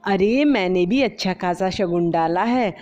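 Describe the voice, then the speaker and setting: A woman's voice: mid-range voice, unhurried, darkish tone, natural voice. A woman voicing an exasperated, scolding middle-aged mother-in-law in a storybook. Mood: sweetly put-on. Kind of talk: storybook voice acting. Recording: slightly dull lo-fi recording.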